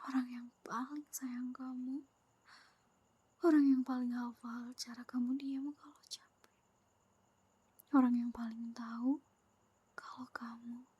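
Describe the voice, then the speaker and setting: A whispering, possessive young girlfriend, as a woman acts her out in an ASMR roleplay, sweet and needy right up against the mic. Her breathy, husky voice sits high and soft, and she lingers slowly over every word. The sound is dry and close. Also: no room sound. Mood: tender whispering.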